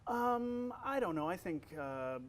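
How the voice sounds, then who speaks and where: A man who works as a voice actor and college instructor, answering interview questions in a relaxed way, with a young-sounding voice and many fillers and restarts. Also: high-pitched, slow pace.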